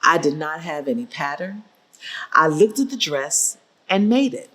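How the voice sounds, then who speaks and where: A woman's voice: low-pitched, sing-song, measured pace, sharp tone, heavily acted. An actress playing a proud, determined older seamstress, telling her story plainly and emphatically in a staged reading. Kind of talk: staged reading of oral history.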